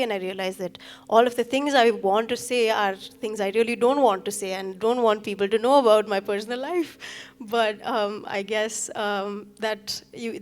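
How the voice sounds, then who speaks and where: Soft-spoken young singer-songwriter from Sikkim. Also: female voice, medium pitch, background noise. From Sikkim, India. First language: Nepali. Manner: earnest, hesitant, many ums and restarts. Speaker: singer-songwriter performing live. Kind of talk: talking to the audience between songs. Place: stage at a live arts event.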